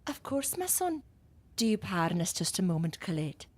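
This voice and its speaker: A woman's voice: medium pitch, sing-song, moderate pace, darkish tone. An actress playing a genteel, persistent matchmaking mother in a period audio drama, coaxing as she speaks. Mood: gracious.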